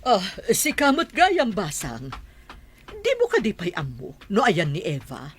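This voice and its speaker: A woman's voice: medium pitch, huge pitch swings, a little slow, slight background noise. A woman playing a mocking market gossip. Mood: defensive. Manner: mocking, bantering. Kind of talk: radio drama acting. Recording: crowd chatter behind.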